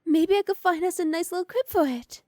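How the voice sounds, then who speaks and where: Young noble wife played by a woman, doting and playfully bossy, high voice, close dry recording, ASMR roleplay. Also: fairly quick, mellow, no echo. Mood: curious, gentle. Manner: sweet, coaxing, playfully scolding.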